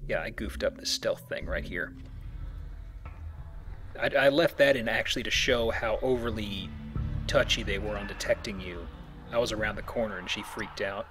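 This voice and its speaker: A man's voice: on the low side, moderate pace, fairly bright tone, faint music behind. A man hosting a Let's Play, explaining things in a casual, conversational commentary. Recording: faint background noise.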